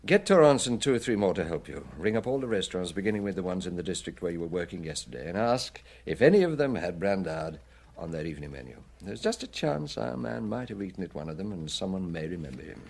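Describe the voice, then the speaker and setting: An actor playing a seasoned, methodical police chief inspector in a radio drama, deliberate and authoritative in his questioning. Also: deep voice, very animated, creaky, darkish tone, full character voice. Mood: businesslike.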